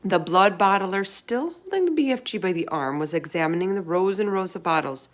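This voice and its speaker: A woman reading a children's story aloud as an expressive narrator. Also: medium pitch, brisk.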